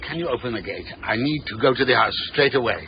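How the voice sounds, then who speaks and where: An actor playing a fussy, imperious personal assistant, formal, precise and insistent, in a radio drama. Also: male voice, medium pitch, fast, natural voice. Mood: urgent, polite. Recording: dull radio recording with some background noise.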